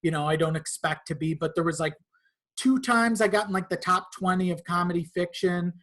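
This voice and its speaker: A man's voice: sits high, steady tone, a little fast, a bit bright. A man talking casually as a podcast guest, in an informal and slightly hesitant way. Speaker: podcast guest, content creator.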